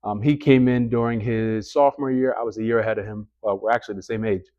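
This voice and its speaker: A man's voice: lowish voice, fairly even intonation, fast, a little mellow, lo-fi sound. A man in his thirties hosting a casual interview podcast, chatty and enthusiastic. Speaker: podcast host.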